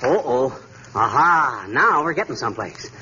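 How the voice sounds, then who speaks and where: A man's voice: medium pitch, big pitch swings, a little slow. A man playing a boastful, bumbling American husband, folksy and pompous, in a 1940s radio comedy. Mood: self-satisfied. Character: blustering, boastful, bumbling middle-aged husband. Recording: noisy, muffled 1940s radio recording.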